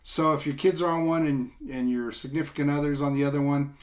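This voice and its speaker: A middle-aged man with an American accent gives a casual, unscripted product demonstration in a plain-spoken, informal way, explaining things step by step with halting delivery. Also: on the high side, fairly even intonation. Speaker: product reviewer. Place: small indoor room, seated at a table.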